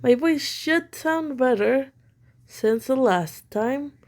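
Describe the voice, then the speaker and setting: A woman's voice: high voice, expressive, slow, a little mellow. A woman who is a little sick, her throat still bothering her, giving blunt, critical opinion commentary at home. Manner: blunt and critical, with many fillers. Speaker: online commentator.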